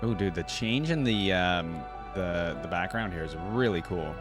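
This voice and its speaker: A man's voice: low-pitched, lively intonation, moderate pace. A man giving casual, slangy gaming reaction commentary, thinking aloud as he goes. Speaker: reaction video host. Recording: music playing behind the voice. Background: game trailer and theme music.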